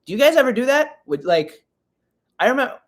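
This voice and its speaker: A man's voice: extremely high pitch, sing-song, a little fast. A man in his twenties hosting a casual live-stream Q&A podcast, chatty and self-mocking as he reads the chat aloud. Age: 20s. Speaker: podcast host.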